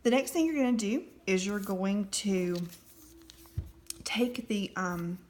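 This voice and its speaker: A woman's voice: medium pitch, lively intonation, slow, a little mellow, slight background noise. A woman giving a casual step-by-step manicure tutorial, explaining each step with frequent ums. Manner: friendly step-by-step instructions with frequent fillers. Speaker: nail product demonstrator.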